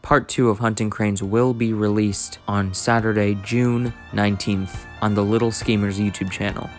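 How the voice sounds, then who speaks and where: A man delivers a scripted closing announcement. His voice is fairly low and stays flat and even, with music playing underneath. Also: normal speed.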